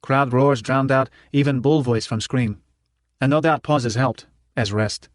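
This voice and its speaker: A man's voice: medium pitch, fairly even intonation, a little slow, dry recording. Male narrator for first-person fiction, clipped telegraphic delivery, dry studio sound. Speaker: audiobook narrator.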